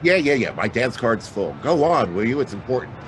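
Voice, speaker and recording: A man's voice: medium pitch, big pitch swings, a little fast, lightly acted. A man playing a wry hard-boiled private detective in a radio drama, with sardonic, clipped, slangy delivery. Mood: sardonic, urgent. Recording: noisy track with outdoor city ambience.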